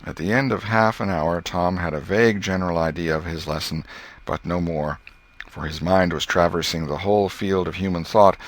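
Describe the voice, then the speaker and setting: Deep-voiced man reading a classic novel. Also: fairly bright tone. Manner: measured, wry storytelling. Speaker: audiobook narrator. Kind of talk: scripted audiobook narration.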